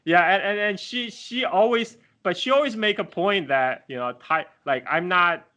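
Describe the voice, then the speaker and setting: Guest, male, forties, animated storyteller with a bright, forceful tone. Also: high-pitched. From Taiwan. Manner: animated, halting, laughs often, many restarts. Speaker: podcast guest, rapper. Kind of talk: casual storytelling chat.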